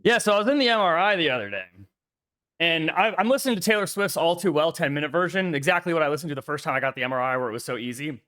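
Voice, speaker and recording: A man co-hosting a casual chess stream, a lively storyteller with a slightly raspy voice who acts out quotes and swears freely. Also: fairly high pitch, very fast. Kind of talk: casual chat.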